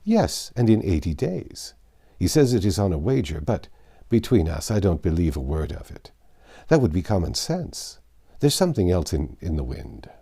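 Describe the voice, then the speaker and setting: Here is a talkative, trusting French manservant from a storybook, acted out by a man. He is proud and exclaims emphatically, with a very lively swing in pitch and a soft-edged, mellow tone. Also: moderate pace, creaky, husky, exaggerated voice. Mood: confiding. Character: voluble, proud, trusting male French servant.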